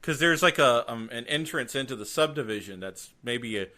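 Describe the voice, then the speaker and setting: Bright-voiced joking male podcast co-host. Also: mid-range voice, expressive, normal speed. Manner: joking, rambling, grumbling rants. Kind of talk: casual chat.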